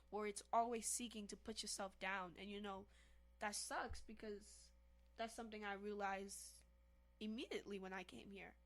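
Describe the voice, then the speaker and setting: Animated young woman from Haiti. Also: medium pitch. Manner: animated, emphatic, addresses listeners as y'all. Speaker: podcast co-host. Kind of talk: casual chat.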